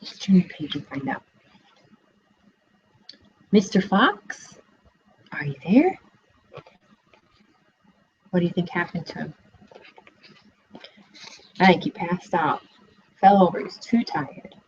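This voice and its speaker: A woman's voice: fairly low pitch, normal speed, pauses often, darkish tone, slightly rough, muffled recording. A young woman student teacher giving a read-aloud lesson for children, asking encouraging questions, recorded on a laptop webcam in a living room.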